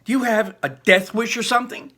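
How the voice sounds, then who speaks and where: A man's voice: high voice, steady tone, a little fast, sharp tone, voice pushed up. A man voicing a bitter, furious schoolgirl from a storybook, blunt and accusing.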